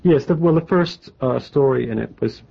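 A man in his forties from New England, a novelist answering interview questions in a halting, wry way, with many restarts and a faintly English-tinged American accent. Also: mid-range voice, vocal fry, husky. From New England, United States. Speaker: novelist guest. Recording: muffled lo-fi interview tape.

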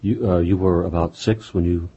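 A man in his fifties from Houston hosting an interview, asking his questions slowly with lots of hesitation. His voice is very deep, very dark and mellow, and heavily raspy, on a dull, lo-fi recording. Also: steady tone. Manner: halting, frequent uh fillers. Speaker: interview host.

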